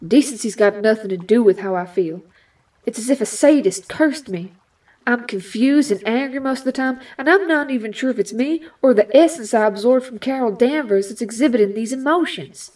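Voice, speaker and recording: An actress playing a guarded, hot-tempered young superheroine in an audio drama, with a Southern US accent and a sassy, folksy manner. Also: female voice, a little high, lively intonation, moderate pace, a little mellow, recorded from a distance, low-quality recording. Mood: bitter.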